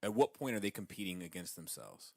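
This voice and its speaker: A man's voice: low-pitched, big pitch swings, fairly quick, creaky, dry recording, clean studio sound. A man co-hosting a geek-culture podcast, chatting informally and swearing freely. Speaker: podcast co-host.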